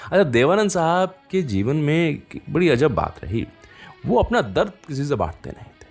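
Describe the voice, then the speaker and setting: A man's voice: a little high, sing-song, moderate pace, gravelly, soft background music. A man hosting a radio show, chatting to listeners and telling anecdotes in a conversational way with frequent asides. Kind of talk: conversational radio presenting and storytelling between songs.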